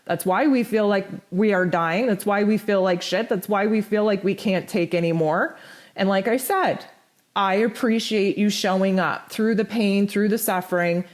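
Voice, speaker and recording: A woman with a hoarse, strained voice giving a blunt, animated spiritual forecast as host of her own channel. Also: moderate pace. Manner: blunt, animated, pitch jumps high for emphasis. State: hoarse, nearly lost voice. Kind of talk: casual spoken forecast.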